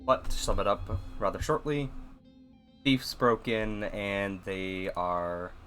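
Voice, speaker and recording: A man giving casual, rambling gameplay commentary in a flat, monotone voice, with a self-deprecating manner. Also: fairly low pitch, slow pace, soft background music. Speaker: gaming video host.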